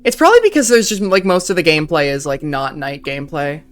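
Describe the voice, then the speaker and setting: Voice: mid-range voice, expressive, fast, faint music behind. A streamer wrapping up a live game session in casual chat, talking freely with lots of "like" and swearing.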